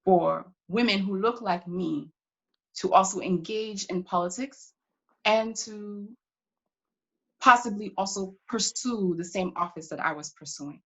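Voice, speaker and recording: A woman playing a proud, determined pioneering congresswoman from Brooklyn, answering questions in character with deliberate, reflective phrasing broken by pauses. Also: mid-range voice, lo-fi sound, close to an everyday voice. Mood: resolute, earnest. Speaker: historical reenactor taking audience questions.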